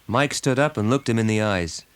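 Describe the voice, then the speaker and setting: A man's voice: lowish voice, moderate pace, clear, resonant tone. A man narrating a dramatic story from a script. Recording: music behind the voice.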